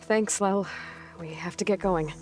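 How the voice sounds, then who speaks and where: An actress playing a determined young female hunter in a video game, calm and matter-of-fact. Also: mid-range voice, a little slow, natural voice. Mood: focused. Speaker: game protagonist. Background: game music.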